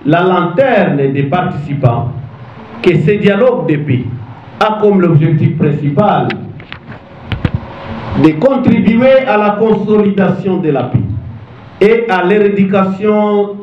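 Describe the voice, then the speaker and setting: Congolese guy reading a formal speech real slow, solemn and halting, echoey dull room. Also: sits high, lively intonation. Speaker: government official opening a conference. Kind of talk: formal speech read to an audience. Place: meeting hall. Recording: echoey room, dull sound, faint noise.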